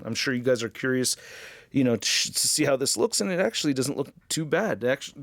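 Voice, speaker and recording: A man's voice: on the high side, fairly bright tone, no room sound. A man giving a casual, chatty, opinionated hands-on review of action figures as the host of a toy channel.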